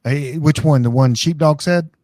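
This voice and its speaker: A man's voice: on the high side, moderate pace, a little mellow, no room sound, clean studio sound. A man with an American accent hosting a casual live stream, rambling through tech advice.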